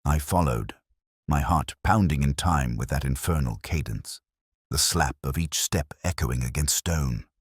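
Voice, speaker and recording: A man's voice: extremely low pitch, a little slow, breathy edge, no echo, studio quality. A man reading a scripted mystery story as a narrator, solemn and suspenseful in his dramatic delivery. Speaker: audiobook narrator.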